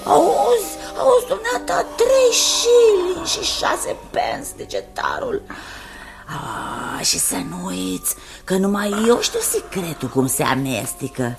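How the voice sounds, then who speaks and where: A woman's voice: medium pitch, wildly swooping pitch, creaky. An actress playing an ailing, wheedling old woman who runs an opium den in a radio drama, whining and coaxing as she talks. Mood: coaxing, haggling. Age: older. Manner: whining, self-pitying, coaxing. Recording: music behind the voice.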